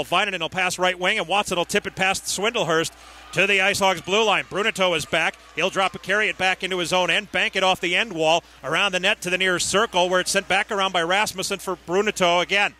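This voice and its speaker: High, bright-voiced male hockey announcer. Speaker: radio play-by-play announcer. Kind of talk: live ice hockey radio commentary. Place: at an ice hockey arena.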